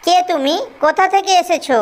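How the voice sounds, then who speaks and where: A high-pitched young cartoon bird played by a man, male and easily smitten. He is a dutiful nephew who talks in an eager, coaxing way. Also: normal speed.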